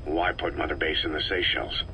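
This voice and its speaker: An actor playing a brooding veteran mercenary boss in a video game, speaking in terse, guarded, questioning phrases. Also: male voice, extremely low pitch, a little fast, over music. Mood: questioning, dry. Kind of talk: video game voice acting, dramatic dialogue.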